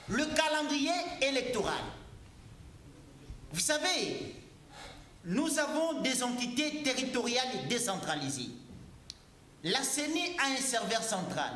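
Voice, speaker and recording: A man's voice: extremely high pitch, sharp tone, echoey room, a little hiss or hum, rough recording. A man arguing insistently in a heated political debate, repeating his points and cutting in. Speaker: debate guest.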